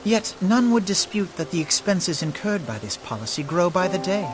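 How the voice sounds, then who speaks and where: Young political advisor, fairly high voice, articulate, formal, composed, game cutscene, music behind. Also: male voice, very animated. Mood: pointed, concerned. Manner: articulate, formal, explanatory, measured. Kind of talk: scripted video game cutscene dialogue.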